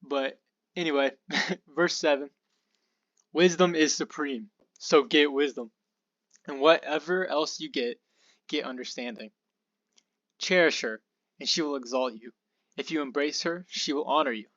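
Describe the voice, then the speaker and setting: A young man with a slightly hoarse voice hosts a podcast, talking through a Bible passage in a relaxed, rambling way. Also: a little high, unhurried, pauses often, dry recording, lo-fi sound. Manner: relaxed and rambling, with fillers and asides. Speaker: podcast host. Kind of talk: casual Bible study talk.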